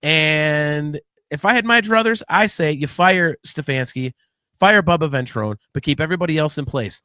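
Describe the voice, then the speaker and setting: A man with a fairly high-pitched voice talks through sports as a solo podcast host. His delivery is casual and opinionated, blunt and a little profane, often repeating words as he thinks. The sound is very dry, with no room echo. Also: expressive, normal speed, bright, forceful tone, clean tone. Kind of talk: casual sports commentary monologue.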